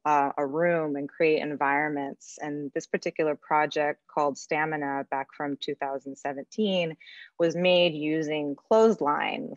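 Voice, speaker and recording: A woman's voice: sits low, no room sound. A woman born in Russia and raised in the U.S., giving a thoughtful, chatty online lecture as a guest artist over a video call, with frequent ums. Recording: dull lo-fi video call audio.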